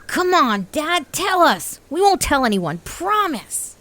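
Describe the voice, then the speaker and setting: Eager, high-voiced young boy. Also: sing-song, takes it slowly, a bit bright, full character voice. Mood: coaxing. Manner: inquisitive, reasonable. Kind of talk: children's audio drama acting.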